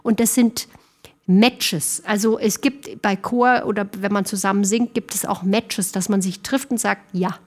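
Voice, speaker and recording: A woman's voice: medium pitch, fairly even intonation, unhurried. Thoughtful middle-aged woman singer. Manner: thoughtful, with frequent fillers and restarts. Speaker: guest singer. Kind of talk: casual interview chat.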